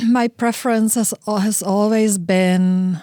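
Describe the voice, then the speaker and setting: A middle-aged woman from the Czech Republic, a research guest answering interview questions in a thoughtful, measured way. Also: medium pitch, fairly even intonation, warm tone, smooth, dry recording, studio quality. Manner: thoughtful, measured, with frequent "you know" fillers.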